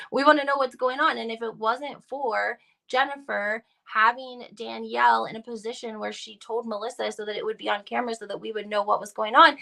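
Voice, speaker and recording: Woman doing casual gossip commentary, lots of vocal fry, really leans on her points. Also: a little high, bright, forceful tone. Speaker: reality TV gossip video host. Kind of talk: casual opinionated commentary to viewers.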